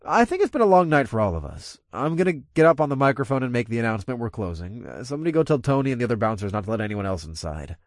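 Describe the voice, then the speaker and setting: Club boss hero played by a man: practical, easygoing, animated audiobook dialogue, close and dry. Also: medium pitch, very fast, few pauses, no echo. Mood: announcing, apologetic.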